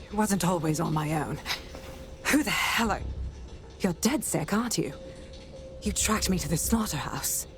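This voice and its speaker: A low-pitched female police inspector in a video game, tough and worn out. She talks bluntly and swears freely. Prominent music plays behind her. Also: expressive, unhurried, long pauses. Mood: shaken, sardonic.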